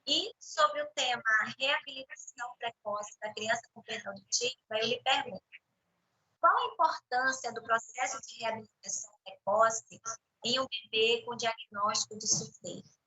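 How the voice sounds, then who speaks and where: A woman's voice: fairly high pitch, normal speed, poor audio. A woman hosting a virtual panel, introducing a guest and giving a spoken audio description of her on a video call. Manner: warm, describes the guest aloud for blind viewers. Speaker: event host.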